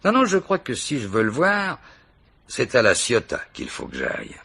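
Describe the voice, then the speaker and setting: An actor playing a dogged, unflappable police commissioner in a radio mystery, dry and gently ironic in his delivery. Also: medium pitch, huge pitch swings, moderate pace, creaky, loud music behind the voice. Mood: wry, determined. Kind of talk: French radio mystery play acting.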